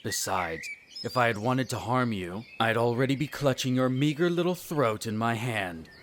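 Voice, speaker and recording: A man's voice: mid-range voice, animated, moderate pace, background noise, natural voice. A man playing a smug, menacing aristocratic vampire in a scripted audio roleplay, speaking formally with condescending disdain. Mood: menacing, commanding. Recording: birdsong and nature sounds behind. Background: birdsong, garden nature ambience.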